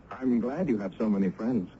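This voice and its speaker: A man's voice: fairly low pitch, a little slow, close to an everyday voice. An actor playing an earnest, reassuring village youth who tells his story in a radio drama, with emphatic delivery. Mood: uneasy, reassuring. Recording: muffled, hissy old radio recording.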